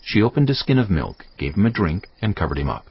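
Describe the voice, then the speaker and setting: Deep-voiced male scripture narrator, expressive. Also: fast talker, husky, lo-fi sound. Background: music.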